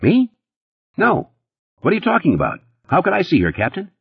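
A twitchy, boastful playboy, put on by a male narrator, his voice jumping up high and swinging all over as he rushes his words. The husky tone stays dry and close, with a slightly dull, muffled sound. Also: wildly swooping pitch, no echo, exaggerated voice. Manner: rapid, nervous, boastful, pitched high and pushy. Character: jittery fast-talking male nightclub playboy, sleazy.

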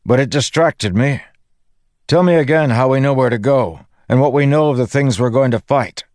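A man voicing an earnest, probing warrior lord in a fantasy audiobook, shouting with urgency. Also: medium pitch, moderate pace, no echo, clean studio sound, pitched above the performer's own voice, lightly acted. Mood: urgent, awkward. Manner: raised, urgent, questioning.

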